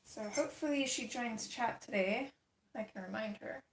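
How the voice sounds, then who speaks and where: A woman hosting a casual livestream, chatting with viewers as she colors, her voice a little gravelly from just waking up. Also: fairly high pitch, normal speed, reverberant, a little hiss or hum, poor audio. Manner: chatty, reads and answers chat comments. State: slightly gravelly morning voice. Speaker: livestream host.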